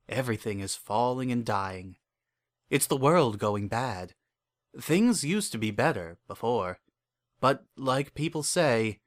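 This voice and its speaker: A man's voice: medium pitch, a bit bright. Lonely, deluded male confessor for audio drama, acted by a man, mid-range voice, earnest, uneasily intense, intimate first-person storytelling. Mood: bleak, resigned. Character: lonely, obsessive, delusional man confiding his private devotion.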